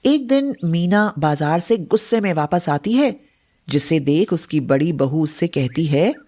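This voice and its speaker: A woman's voice: low voice, animated, unhurried, warm tone. A woman narrating a scripted story as the storyteller.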